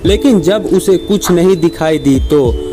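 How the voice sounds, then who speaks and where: A man reading scripted moral stories for children in a simple, measured storybook manner. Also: a little high.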